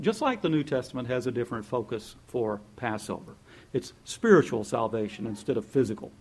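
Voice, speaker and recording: A man delivering a sermon to his congregation in an easygoing, conversational voice of middling pitch. He laughs often and slips into playful impressions when he quotes people. His energy climbs when he urges the listeners on. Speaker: pastor.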